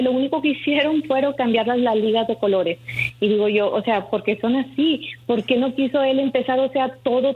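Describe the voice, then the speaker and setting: A woman calling into a radio show, telling her complaint hesitantly with many restarts. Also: on the high side. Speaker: caller. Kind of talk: phone-in complaint. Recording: phone line.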